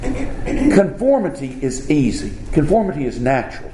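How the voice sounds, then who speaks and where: A man's voice: a little high, big pitch swings, normal speed, echoey room, background noise. A man from the southern United States with a Southern accent, preaching a sermon in a folksy, conversational way with many fillers. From born South Alabama, grew up North Florida.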